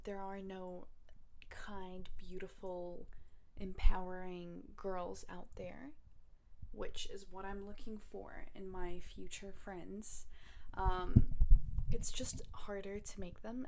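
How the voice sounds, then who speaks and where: A woman's voice: medium pitch, warm tone, a little hiss or hum. A young woman from Russia, talking casually to camera in a reflective, rambling way with many fillers. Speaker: vlogger. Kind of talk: casual personal talk to camera.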